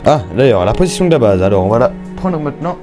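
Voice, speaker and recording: A man's voice: medium pitch, sing-song, quick pace, over music. A young man giving casual, slangy Minecraft let's-play commentary, chatting and thinking aloud as he plays. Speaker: gaming YouTuber.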